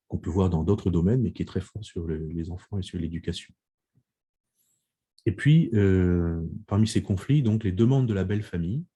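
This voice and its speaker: A low, dark-toned man lectures over a video call, with a slightly husky voice. He speaks in measured phrases, restarting words and pausing often. The tone is that of an academic presenting survey results. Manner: measured, many restarts and pauses with "euh". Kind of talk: video conference talk presenting survey findings.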